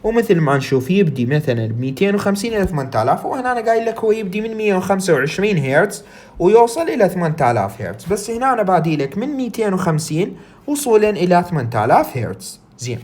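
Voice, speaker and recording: Iraqi woman lecturing with lively pitch. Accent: Iraqi Arabic. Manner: reads English text slowly, then explains in Arabic; asks and answers her own questions. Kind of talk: recorded lecture.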